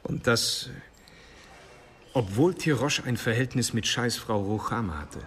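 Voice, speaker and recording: A man's voice: medium pitch, expressive, measured pace, fairly bright tone. A man playing a practical, teasing police colleague who talks in a wry, matter-of-fact way in a radio crime drama.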